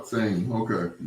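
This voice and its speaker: A man hosts a casual livestream in an echoey room. He talks slowly, with a pitch that swings up and down freely. He rambles, repeats himself and draws out his greetings to viewers. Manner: unhurried, rambling, repeats phrases, drawn-out greetings. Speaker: livestream host. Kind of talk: casual chat with live viewers. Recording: roomy, echoing sound.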